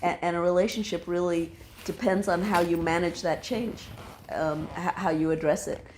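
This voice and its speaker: A woman guest in a casual chat, speaking in a measured, thoughtful way as she gives advice. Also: on the low side, steady tone, a little mellow, a little hiss or hum.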